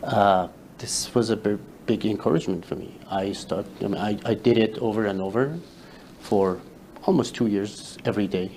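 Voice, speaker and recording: A man whose first language is Farsi, a guest telling his story in a casual interview, halting with many fillers and restarts. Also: fairly low pitch, a little mellow, a little hiss or hum, poor audio. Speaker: interview guest, social media creator.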